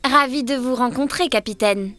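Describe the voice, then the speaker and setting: A woman voicing a friendly, gentle young mermaid in a French children's cartoon dub. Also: high-pitched, normal speed. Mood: welcoming. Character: Sirena, friendly young mermaid girl from undersea city. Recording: music behind the voice.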